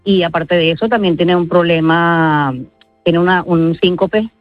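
A woman from Venezuela with a Venezuelan accent, speaking haltingly in a live news interview, full of fillers. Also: fairly low pitch, fairly even intonation, no echo, soft background music. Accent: Venezuelan Spanish. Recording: dry, slightly dull audio.

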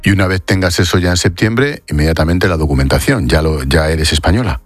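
A man presenting the news in a measured, formal way and interviewing a guest live. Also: low voice, no echo, faint music behind.

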